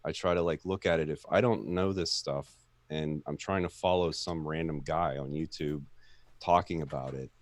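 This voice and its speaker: A man with a deep, monotone voice hosting a casual chat, rambling and reflective with many restarts. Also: moderate pace, no echo. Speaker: podcast host.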